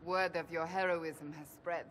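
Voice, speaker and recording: An actress playing a stern, dutiful warrior woman in a video game, speaking in a measured, formal and grave manner. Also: lowish voice, fairly even intonation, a bit bright, slight background noise. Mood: grave, wary. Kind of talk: dramatic video game acting.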